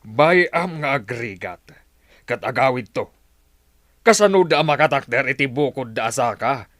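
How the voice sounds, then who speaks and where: A man acts out a hard-hearted middle-aged father in a radio drama. He scolds scornfully at a medium pitch, recorded dry and close. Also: expressive, unhurried, a bit bright, no room sound. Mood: scornful, scolding. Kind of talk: Ilocano radio drama acting.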